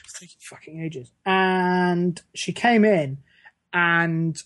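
A man's voice: high voice, slow pace, a bit bright, no echo. Man with a very dry, close sound. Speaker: podcast co-host. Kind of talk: casual comic storytelling.

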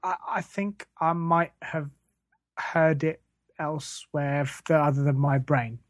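A woman's voice: deep voice, slow, no room sound. A woman co-hosting a comedy podcast, chatting in a rambling, hesitant, faux-naive way. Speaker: podcast co-host. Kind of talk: casual comedy chat.

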